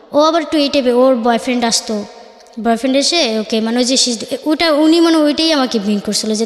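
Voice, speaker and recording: High female voice, late teens, Bangladeshi accent, halting, self-correcting, informal storytelling, long reverb. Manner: halting, self-correcting, acts out reported dialogue. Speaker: guest telling life story. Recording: echoey, reverberant room.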